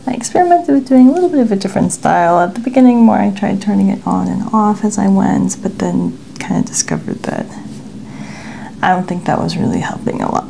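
Young female ASMR stylist. Gentle, near-whispered casual delivery, creaky, faint room noise. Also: medium pitch. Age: young adult. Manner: soft-spoken, gentle, often near whisper. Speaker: ASMR hair stylist host. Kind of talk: ASMR role-play narration, casual chat. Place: small indoor room. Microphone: clip-on lapel mic.

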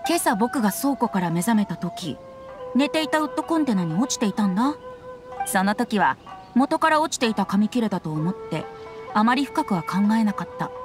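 A woman's voice: mid-range voice, sing-song, moderate pace, close to an everyday voice. A woman voicing a playful, breezy young bard with airy, boyish, teasing speech in an anime game. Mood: thoughtful. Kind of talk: anime game cutscene acting. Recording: music behind the voice.

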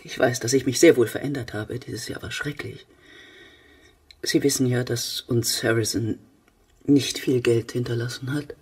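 A woman's voice: rumbling low, fairly even intonation, normal speed, a little mellow, breathy edge, far from the mic, full character voice. An actress playing a fussy, talkative, needy middle-aged mother in a German radio play. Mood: chatty, wistful.

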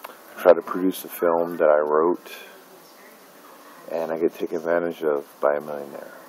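A man's voice: very deep voice, little pitch movement, moderate pace, a little mellow, slight background noise, low-quality recording. A middle-aged man, an independent filmmaker, filming himself in a dim room at night and ranting bitterly, with profanity and lots of fillers. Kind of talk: angry personal vlog rant. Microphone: phone camera held close.

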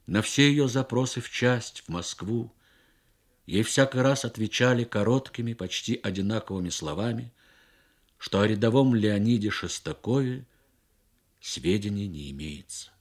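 Low-voiced man reading a literary story. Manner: measured, expressive phrasing with deliberate pauses. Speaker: story reader. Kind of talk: dramatic literary narration for Soviet radio.

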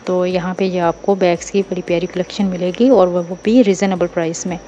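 A woman's voice: lowish voice, steady tone, a little fast, warm tone, lo-fi sound, slight background noise. A woman vlogger with a Pakistani Urdu accent, casually walking through a busy bazaar and chatting about bags. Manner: chatty, informal shop tips. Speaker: shopping vlogger. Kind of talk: casual market walkthrough vlog.

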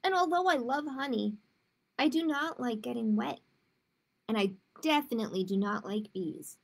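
A woman voicing a gentle, unsure male teddy bear in a read-aloud storybook, earnest and fretful. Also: fairly high pitch, animated, normal speed, gravelly. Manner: earnest, fretful, simple sentences.

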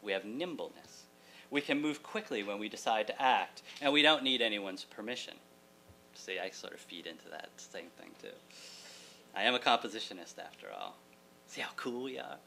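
A man's voice: fairly low pitch, big pitch swings, normal speed, gravelly, bright, forceful tone. A middle-aged man delivering a prepared keynote address at a lecture hall podium, articulate and wry, with joking asides. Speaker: keynote speaker, college writing teacher. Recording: hum and room noise, distant camera.

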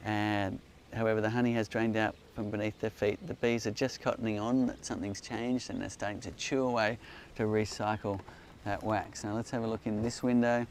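A man's voice: on the low side, flat delivery, a little fast. A man in his forties hosting an outdoor beekeeping Q&A, explaining with enthusiasm while he demonstrates in a hillside garden. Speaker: beekeeping company host. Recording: faint outdoor noise.

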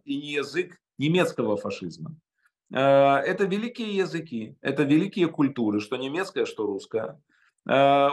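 A man in his fifties from Ukraine, answering interview questions over a video call from a home study, emphatic and halting with many hesitations. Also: medium pitch. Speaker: interview guest.

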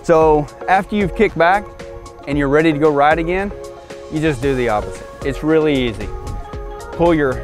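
A man's voice: on the high side, normal speed. A man in his forties giving a casual, plain, step-by-step instructional walkthrough in short phrases as a product demonstrator. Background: music.

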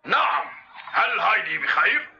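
Gravelly old cartoon grandpa, lively pitch, dull lo-fi hissy TV audio. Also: male voice, normal speed, exaggerated voice. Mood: friendly. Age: older. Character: gruff bearded old grandfather, kindly underneath. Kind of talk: Arabic cartoon dub. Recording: dull lo-fi TV audio, faint hiss.

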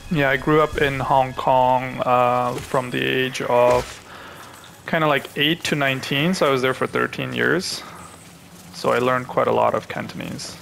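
A young man from Pakistan, hosting a gaming channel, who chats to viewers and thinks aloud as he plays, with excitable reactions. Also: mid-range voice, measured pace, gravelly, a little hiss or hum. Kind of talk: live gameplay commentary.

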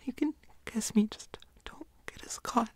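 A woman playing a nervous, pouty teenage schoolboy in a whispered audio roleplay, breathy, hesitant and pleading. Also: fairly high pitch, measured pace.

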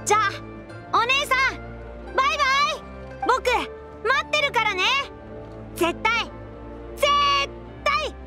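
A woman's voice: very high voice, expressive, a little slow, pauses often. A woman playing an innocent, eager little boy in a Japanese video game, with a childlike, earnest delivery. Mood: eager, pleading. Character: innocent, eager little boy who adores his big brother. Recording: music behind the voice.

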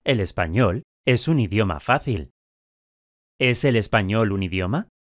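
A man with a lowish voice asks simple drill questions and states short sentences, each word clearly articulated. He is recorded close and dry with no room sound. His delivery stays even and scripted throughout. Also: a little slow, dry recording. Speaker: language course speaker.

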